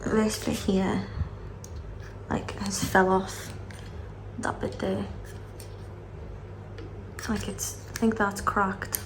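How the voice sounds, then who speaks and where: A woman's voice: medium pitch, level tone, very slow, pauses often, distant mic. A British woman chatting casually to camera for a vlog, rambling with lots of fillers while she has a cold and sore throat. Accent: British English. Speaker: vlogger. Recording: echoey room, off-mic, loud background noise.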